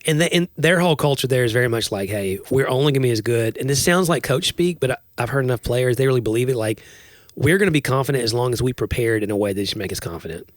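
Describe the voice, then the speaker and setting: A man's voice: mid-range voice, animated, quick pace, dry recording. American man, dry close-miked podcast chat. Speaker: podcast co-host. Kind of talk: casual chat. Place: small home room, indoors. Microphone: close podcast microphone on boom arm.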